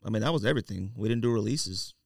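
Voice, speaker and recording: A man's voice: on the low side, quick pace, gravelly, no echo. A man hosting a podcast in a studio, chatting casually and pressing his questions persistently. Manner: animated, persistent, often cutting in to press questions. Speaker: podcast host. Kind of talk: casual sports and sneaker podcast banter.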